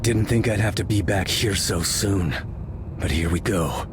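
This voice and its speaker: An actor playing a haunted male super-soldier, low-key, dry and grim in how he talks. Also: a little slow, long reverb tail, noisy background.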